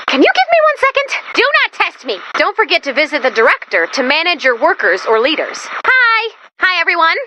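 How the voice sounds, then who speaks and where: A woman voicing an excitable, anxious, sarcastic female robot helper in a video game, perky and dramatic in manner. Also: very high voice, fairly quick, few pauses, a little hiss or hum, exaggerated voice. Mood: frazzled. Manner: perky, neurotic, self-deprecating, dramatic. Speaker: video game mission-giving sidekick. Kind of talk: animated video game voice acting.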